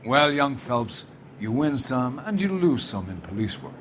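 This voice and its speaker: Hearty older police captain for a detective game, avuncular and expansive, faint noise behind. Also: male voice, mid-range voice, very animated, measured pace, a bit bright. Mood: jovial. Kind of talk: video game cutscene acting.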